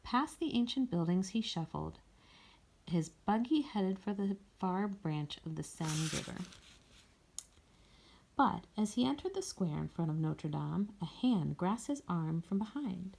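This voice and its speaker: A woman's voice: lowish voice, animated, measured pace, creaky, darkish tone, husky. A woman reading a storybook aloud as a scripted narration. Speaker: story reader.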